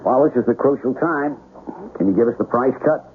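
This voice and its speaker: A man's voice: medium pitch, fairly quick, natural voice. An actor playing a pressing, defensive businessman in a 1970s radio drama. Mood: businesslike. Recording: tinny, noisy old radio broadcast.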